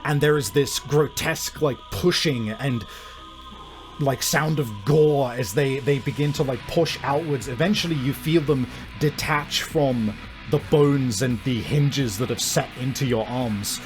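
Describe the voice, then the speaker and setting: A man narrating a horror tabletop game as game master, describing things vividly with hesitant pauses. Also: mid-range voice. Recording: music bed behind the voice.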